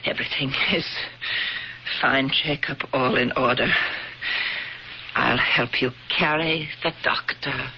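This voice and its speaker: A grim, determined woman out for vengeance, speaking with deliberate, bitter sarcasm and formal words. It is 1970s radio drama, dull in tone with faint hiss behind it. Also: extremely low pitch, steady tone, exaggerated voice. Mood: bitter, haunted. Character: grim, determined woman avenging her murdered family. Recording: dull, noisy old radio broadcast.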